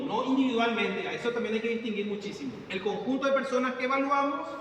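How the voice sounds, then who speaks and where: A man's voice: sits high, a bit bright. Man teaching in an echoey classroom. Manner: explains step by step, asks the class questions. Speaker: psychometrics teacher. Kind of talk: classroom lecture. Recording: distant echoey classroom recording with background noise.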